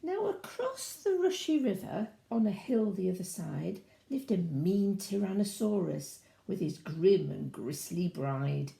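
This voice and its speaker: A woman's voice: medium pitch, very animated, measured pace, vocal fry, hardly any pauses, warm tone, reverberant. A woman reading a children's storybook aloud expressively, in a sing-song way, with asides to young listeners.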